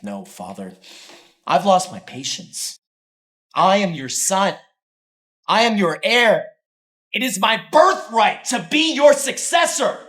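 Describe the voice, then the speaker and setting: A man's voice: mid-range voice, big pitch swings, fairly quick, lightly acted. An actor playing a scheming young man who wants to inherit his father's company, smug and sneering. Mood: indignant. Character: scheming smug young male heir, the brother. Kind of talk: melodrama acting.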